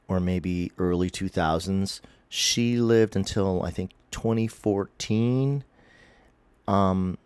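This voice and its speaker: Deep-voiced man with a stuffy cold. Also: a little mellow, no echo. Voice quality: nasal, sniffly. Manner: rambling, self-correcting, with many um's. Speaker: spiritual vlogger. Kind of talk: casual talking-to-camera vlog. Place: living room at home.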